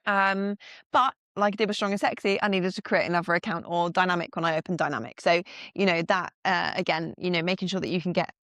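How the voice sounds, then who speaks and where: A woman's voice: on the low side, steady tone, quick pace, fairly bright tone, no room sound, studio quality. A woman co-hosting a business podcast, speaking frankly and upbeat, with casual swearing. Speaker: podcast co-host, fitness business owner.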